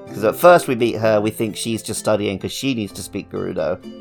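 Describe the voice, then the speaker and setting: Male podcast co-host, lower register, casual gaming chat over background music. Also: brisk, gravelly.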